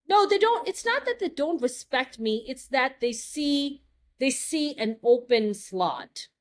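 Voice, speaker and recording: A young adult American woman telling stories in a casual chat, lively and blunt, joking and swearing freely. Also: high voice, unhurried. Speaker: guest.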